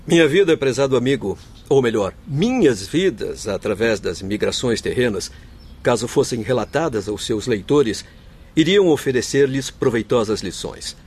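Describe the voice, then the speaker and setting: An actor playing an earnest, courteous doctor spirit in a Brazilian Portuguese radio drama, speaking formally and eloquently. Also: male voice, medium pitch, lively intonation, normal speed, a little mellow, breathy edge. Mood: solemn, counselling.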